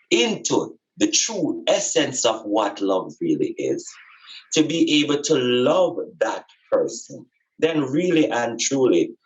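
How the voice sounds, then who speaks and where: Man teaching with a lively, swooping delivery. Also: mid-range voice, lo-fi sound. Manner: earnest, emphatic, repeats phrases for effect. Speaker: spiritual teacher and podcast speaker. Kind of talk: conversational spiritual teaching.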